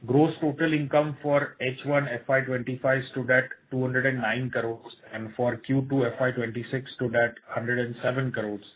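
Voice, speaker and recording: Male executive, Indian accent, measured scripted earnings-call delivery, thin telephone-band sound. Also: mid-range voice. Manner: formal, measured reading of figures. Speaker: company executive presenting results. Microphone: telephone conference line. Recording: tinny narrow phone line.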